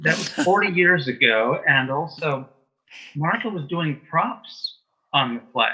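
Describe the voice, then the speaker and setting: A middle-aged man with a sharp, very bright voice, a little high in pitch, on a slightly lo-fi recording. He reminisces as a guest, matter-of-fact and dryly funny. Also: animated, measured pace. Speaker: guest, author and playwright. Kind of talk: casual reminiscing interview chat.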